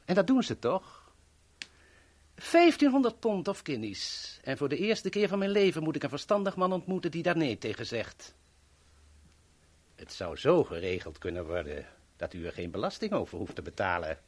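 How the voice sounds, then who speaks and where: An actor in a 1970s Dutch radio drama playing a polite, self-important, shady go-between with florid, over-courteous manners. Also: male voice, a little high, sing-song, normal speed. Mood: veiled menace.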